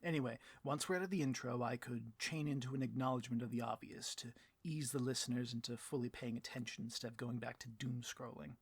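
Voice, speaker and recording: A man's voice: medium pitch, quick pace, hardly any pauses, husky, dry recording. A man playing a bloviating, petty supervillain boss in a comedic audio roleplay, with a pompous, melodramatic delivery and a taste for rants. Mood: self-important.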